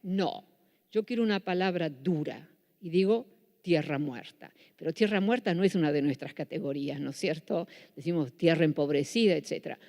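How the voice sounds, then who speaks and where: Lecturer: woman, Buenos Aires accent, warm low tone, long hall reverb. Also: normal speed. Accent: Buenos Aires Spanish. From Netherlands. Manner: self-correcting, with frequent restarts. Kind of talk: public lecture.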